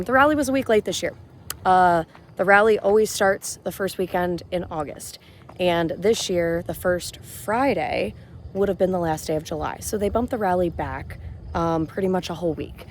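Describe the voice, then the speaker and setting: A woman with a hoarse voice, speaking as a rally vendor in a chatty, matter-of-fact way. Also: lowish voice, normal speed, slight background noise. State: hoarse, losing her voice. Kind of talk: casual vlog chat to camera. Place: outdoor campground.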